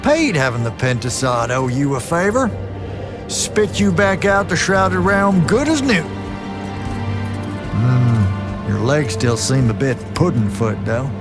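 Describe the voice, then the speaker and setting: An actor playing a salty, folksy veteran soldier in a video game cutscene, wry and gruffly fond in manner. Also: male voice, medium pitch, lively intonation, takes it slowly, music in the background. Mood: fond, teasing.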